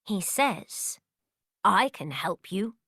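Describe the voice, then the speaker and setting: A woman narrating a scripted children's story for young learners in deliberate, simple phrases. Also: mid-range voice, big pitch swings, bright, forceful tone, no room sound, studio quality.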